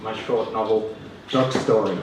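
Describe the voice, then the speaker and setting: A man performing stand-up comedy, hesitant and self-deprecating, reading parody prose in a deadpan way. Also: lowish voice, measured pace, distant mic. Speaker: stand-up comedian reading own mock novels. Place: student union comedy stand-up event, indoors. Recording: roomy, noisy live room recording from afar. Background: audience laughter, room noise.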